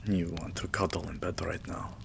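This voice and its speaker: A man playing an adoring, weary vampire king in a romantic ASMR roleplay, murmuring tenderly and intimately in a breathy, gravelly half-whisper. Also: deep voice, level tone, moderate pace, slight background noise.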